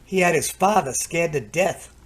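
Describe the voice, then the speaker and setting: An actor playing a chatty, excitable technician with an American accent, colloquial, in an old-time radio drama. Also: male voice, a little high, normal speed, breathy edge. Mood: exasperated, amused. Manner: stammering when flustered, colloquial. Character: excitable working-man technician, chatty and easily alarmed.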